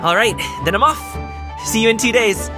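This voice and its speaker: A man's voice: high-pitched, normal speed, music in the background, lightly acted. A man voicing a cheerful, happy-go-lucky young delivery worker in a video game. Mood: breezy. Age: young adult.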